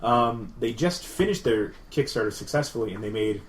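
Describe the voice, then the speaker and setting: A man's voice: mid-range voice, lively intonation, normal speed, a little hiss or hum. A man co-hosting a casual podcast, explaining games in a rambling, enthusiastic way full of fillers. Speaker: podcast co-host.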